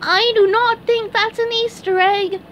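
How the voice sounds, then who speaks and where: A woman voicing an excitable, kind-hearted young male cartoon sea sponge from a children's storybook, high and earnest. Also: unhurried, a little hiss or hum. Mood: alarmed. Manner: high-pitched, earnest, exclamatory.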